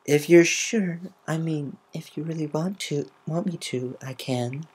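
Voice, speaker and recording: A performer voicing a shy, gentle young female cartoon pegasus pony with a timid, hesitant manner. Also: medium pitch, unhurried, slightly rough, pitched above the performer's own voice.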